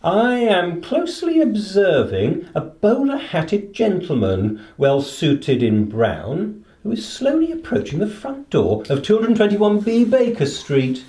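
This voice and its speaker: An actor playing a keenly observant, self-assured gentleman detective in a radio play, formal, precise and inquisitive, with a British accent. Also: high-pitched, sing-song, unhurried, hardly any pauses, roomy sound. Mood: observant, brisk.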